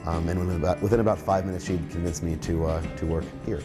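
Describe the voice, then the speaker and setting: A young man in his twenties talks as a science teacher, warm and encouraging, with plenty of restarts and fillers. His voice sits low, and music plays clearly under him. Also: fairly even intonation, quick pace. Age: 20s. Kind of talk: interview and classroom talk.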